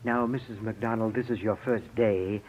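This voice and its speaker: An actor in an old radio drama playing a moody, scheming composer, formal and easily irritated. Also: male voice, on the low side, fairly even intonation, moderate pace, a little hiss or hum, lightly acted. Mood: formal, aloof. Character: moody, imperious, scheming composer, brother of dead sisters. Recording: muffled old radio recording.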